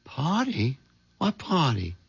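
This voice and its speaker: An actor playing a timid, hen-pecked middle-aged husband, hesitant and stammering, in a 1970s radio drama. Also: male voice, fairly high pitch, huge pitch swings, slow, creaky, breathy edge, no echo, exaggerated voice. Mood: confused. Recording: dull 1970s radio recording, music underneath.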